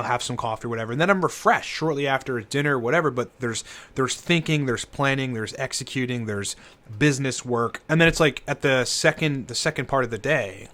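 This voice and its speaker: A man's voice: medium pitch, fairly bright tone. Rambling, cursing man in his late thirties. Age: 30s. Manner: rambling, profane, fillers like "you know" and "like". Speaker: channel host, entrepreneur. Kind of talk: casual unscripted monologue to camera.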